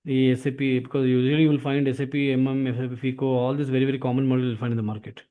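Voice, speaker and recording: A man's voice: medium pitch, flat delivery, racing, narrow, tinny audio. A man with an Indian accent, a tutor chatting casually and persuasively with a prospective student, often repeating himself. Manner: repetitive, often tags sentences with "actually". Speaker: SAP course tutor.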